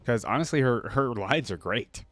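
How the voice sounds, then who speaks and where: A man's voice: medium pitch, lively intonation, normal speed, fairly bright tone, slight background noise. A man giving casual reaction commentary, musing and thinking aloud as he goes. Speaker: reaction video creator.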